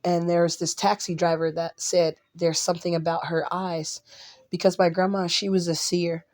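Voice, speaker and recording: A woman vlogging casually to her viewers, a little hoarse and raspy from a cold, rambling with restarts and blunt asides. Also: fairly low pitch, little pitch movement, normal speed, a little mellow. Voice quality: slightly raspy. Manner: blunt and outspoken.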